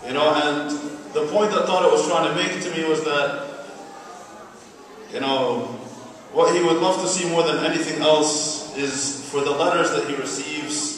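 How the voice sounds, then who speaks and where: Higher-voiced man speaking in a very echoey hall. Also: normal speed, a bit bright. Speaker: speaker at an awareness event. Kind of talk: personal public speech. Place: large hall at an Islamic center. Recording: very echoey hall from far off, faint noise.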